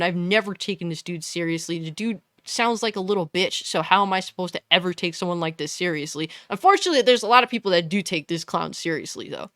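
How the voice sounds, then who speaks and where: A woman with a fairly deep voice giving a blunt, scornful, profane commentary rant. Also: a bit bright, no room sound, studio quality. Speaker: commentary YouTuber. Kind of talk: ranting commentary.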